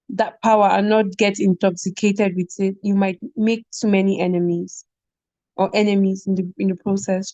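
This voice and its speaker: A young Nigerian woman, a podcast guest, telling school stories in casual conversation, animated and quick to laugh, often asking "do you get?". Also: mid-range voice, no room sound, lo-fi sound. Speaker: podcast guest, former head girl.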